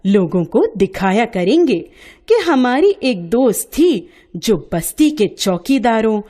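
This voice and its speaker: Woman as a cheerful young painter girl, high-pitched, animated, teasing and boastful, storybook character. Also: heavily acted.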